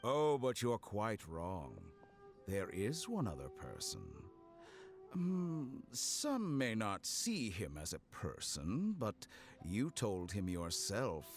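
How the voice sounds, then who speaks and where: Slow, smug, sadistic villain scientist. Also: male voice, fairly low pitch, wildly swooping pitch, soft background music, heavily acted. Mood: smug, gloating. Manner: slow, deliberate, mockingly courteous. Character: suave, sadistic villain scientist, calm and mockingly polite.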